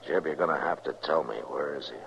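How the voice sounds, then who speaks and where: An actor playing a stern, steady American marshal in a western radio drama, speaking in terse, commanding phrases. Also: male voice, rumbling low, fast talker, vocal fry. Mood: grave, firm. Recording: muffled, noisy old radio recording.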